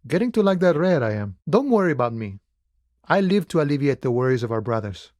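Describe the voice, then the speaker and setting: A man acting as a laid-back union dockworker from a video game, with a mid-pitched voice in a very dry recording. He talks in an unhurried, familiar way, wry and philosophical, friendly without ever pushing. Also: sing-song, darkish tone, no room sound, lightly acted. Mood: relaxed, wry. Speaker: video game character voice actor.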